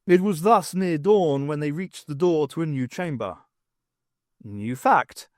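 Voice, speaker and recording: Higher-pitched man narrating a story. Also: moderate pace, dark tone, no room sound, clean studio sound. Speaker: audiobook narrator. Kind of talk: scripted story narration with character voices.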